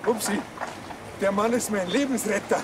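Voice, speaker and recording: A man's voice: high-pitched, normal speed, background noise, lightly acted. An actor playing a self-absorbed, comic village policeman with a Bavarian accent in a TV crime comedy. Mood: breathless, indignant. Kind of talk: comedy crime series acting.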